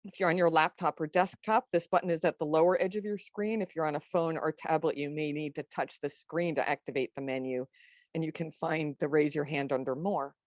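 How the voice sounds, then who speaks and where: A lower-pitched woman hosts an online meeting and runs through housekeeping. She speaks a little haltingly, restarting words as she goes. The sound is dry, with little room in it. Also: fairly even intonation, no room sound. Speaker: meetup host. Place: indoors, on a video call.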